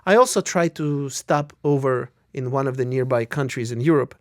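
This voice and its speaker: A middle-aged man from Russia and Israel, with Russian as his first language, speaks as an interview guest in a very dry, close recording. His voice has an average pitch, and he makes opinionated points by repeating phrases for emphasis. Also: normal speed, no echo. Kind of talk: conversational interview answers.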